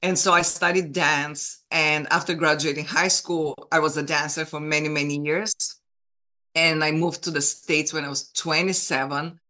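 A woman's voice: sits low, steady tone, normal speed, pushed and bright. A woman from Bulgaria with a Bulgarian accent, a guest in an interview telling her career story earnestly and with enthusiasm, with small restarts. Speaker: guest, film producer. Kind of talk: interview conversation.

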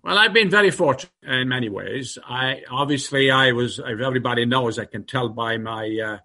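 A man with a New York accent carrying traces of his Irish upbringing, answering interview questions in a measured, steady way. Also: medium pitch. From Ireland. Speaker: guest executive.

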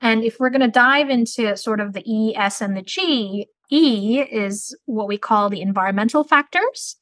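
A middle-aged woman from Turkey, a business school dean, answering interview questions in a measured, articulate, explanatory way. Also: fairly high pitch, a bit bright, dry recording.

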